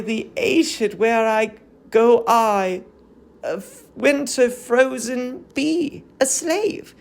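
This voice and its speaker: A woman playing an aged, fallen queen in a verse tragedy, mournful, stern and weary, with solemn drawn-out lines. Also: a little high, gravelly, full character voice. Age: older. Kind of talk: classical verse tragedy, declaimed.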